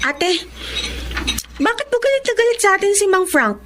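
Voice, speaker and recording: A woman's voice: extremely high pitch, big pitch swings, moderate pace, background noise. A woman with a Filipino accent playing a naive, cheeky young girl, childlike and eager, in a radio drama. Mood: questioning.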